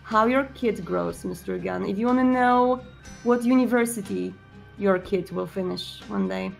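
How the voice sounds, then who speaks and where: A composed vampire prince, acted by a woman in a tabletop game, speaking in measured, formal phrases over faint music. He is persuasive and deliberate, every clause set down firmly. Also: mid-range voice, gravelly.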